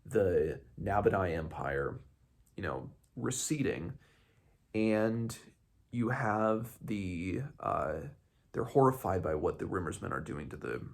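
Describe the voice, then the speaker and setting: Male read-along host, very deep register with heavy vocal fry, casual and halting delivery. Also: animated. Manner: halting, searching for words, self-correcting. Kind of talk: casual book discussion with some read-aloud passages.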